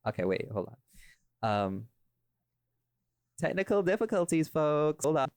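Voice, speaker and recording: A man's voice: fairly high pitch, big pitch swings, unhurried, a little mellow, smooth. A man hosting a casual podcast, explaining his creative choices emphatically and speaking straight to his listeners. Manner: emphatic, addresses listeners directly. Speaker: podcast host and audio drama creator.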